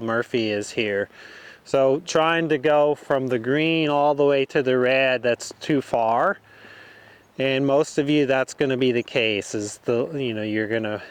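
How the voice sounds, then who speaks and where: A man's voice: medium pitch, clean tone. A man working as a horse riding instructor, informally explaining each step while he demonstrates from the saddle.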